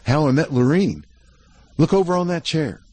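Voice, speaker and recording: A boastful young cowboy, put on by a male reader, spills out rough country talk in quick bursts. The voice sits higher than the reader's own and bounces with excitement, with a husky grain underneath. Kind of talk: audiobook reading.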